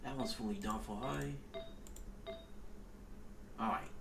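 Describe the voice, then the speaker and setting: A man muttering low-key, casual commentary to himself as he plays a video game on a livestream. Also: takes it slowly. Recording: distant mic with clear background noise.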